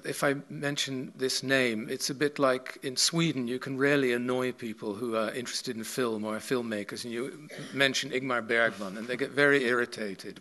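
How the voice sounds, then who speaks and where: Bright-voiced older male interviewer. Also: medium pitch. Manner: meandering questions with many pauses and fillers. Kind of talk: onstage festival conversation. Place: theatre stage with audience. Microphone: table mic on a stand.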